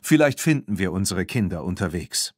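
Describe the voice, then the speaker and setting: A man reads as a courteous storybook nobleman, a marquis with formal, polite phrasing. The voice is on the low side and recorded very dry. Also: big pitch swings, normal speed, husky, no echo, exaggerated voice. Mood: inviting.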